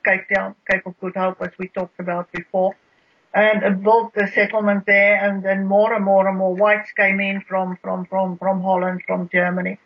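A South African guest with an Afrikaans accent, explaining history in a halting way with many repeated words. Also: mid-range voice, monotone. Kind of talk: radio interview, explaining history. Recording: dull lo-fi radio sound.

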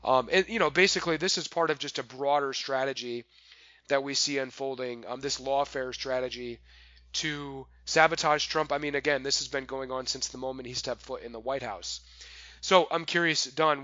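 A man's voice: mid-range voice, normal speed, sharp tone, no room sound, lo-fi sound. A man hosting a political interview, speaking emphatically and building in intensity. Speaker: host.

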